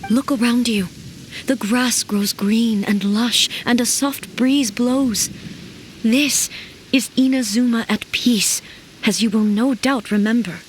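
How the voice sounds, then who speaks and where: A solemn, stately warrior goddess. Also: female voice, a little high, slight background noise, low-quality recording. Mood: calm, soothing. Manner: formal, measured, solemn, commanding. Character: stern, dignified female ruler and warrior deity. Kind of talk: anime game voice acting.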